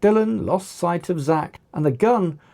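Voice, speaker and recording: Audiobook narrator, dramatic storyteller, mellow tone with some gravel, unhurried delivery. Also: mid-range voice, animated. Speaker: author reading own novel aloud.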